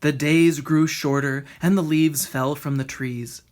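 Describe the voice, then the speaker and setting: A woman reading a children's storybook aloud in an expressive narrating style. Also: low-pitched, a little slow. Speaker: storybook reader.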